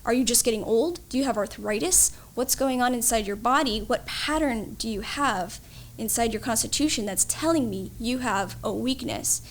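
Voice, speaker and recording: A woman's voice: a little high, moderate pace, a little hiss or hum. A woman explaining her field as a guest expert in an interview, in an instructive, matter-of-fact way.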